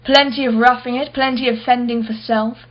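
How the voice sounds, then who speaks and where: A haughty, wealthy woman collector played by an actress in a radio drama. Her voice sits fairly high, and she speaks in an arch, witty, defensive way. The sound is slightly dull. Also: steady tone, fairly quick, lightly acted. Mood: nostalgic, proud. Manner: arch, articulate, sardonic. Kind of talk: radio play acting.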